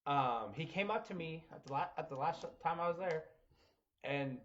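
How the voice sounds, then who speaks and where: A man in his twenties in casual chat, talking in a halting, reflective, self-deprecating way. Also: fairly high pitch, fairly bright tone. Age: 20s. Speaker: podcast host, former wrestler. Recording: dull lo-fi sound.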